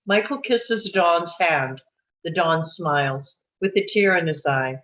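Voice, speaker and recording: A woman's voice: on the low side, lively intonation, slow. A woman reading stage directions as scripted narration for a live table read, on dull audio.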